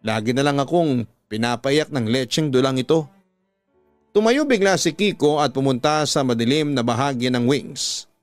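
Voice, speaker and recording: A man with a Filipino accent reads a dramatic story as a radio storyteller, phrasing slowly and emotively. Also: mid-range voice, lively intonation, dry recording. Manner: slow, emotive, deliberate phrasing. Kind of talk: dramatic scripted story narration. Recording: music bed behind a dry studio voice.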